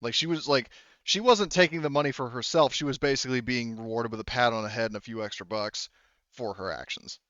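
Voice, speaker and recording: A man running a tabletop game as game master, explaining details casually and haltingly, often rephrasing as he goes. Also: mid-range voice, a bit bright, clean tone, no room sound, muffled recording.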